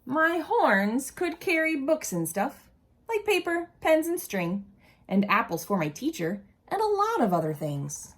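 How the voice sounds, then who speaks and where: A woman reads a picture book to young children in a fairly high voice. Her delivery is slow and deliberate, with big swoops in pitch. She slips in casual asides and questions for the listeners. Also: big pitch swings. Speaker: storyteller reading a children's picture book aloud.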